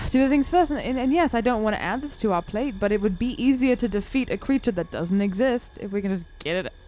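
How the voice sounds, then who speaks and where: A woman's voice: on the high side, fairly quick, mellow, slight background noise, rough recording. A woman playing a tabletop role-playing game, chatting casually and in character with informal phrasing. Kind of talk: casual improvised in-character chat.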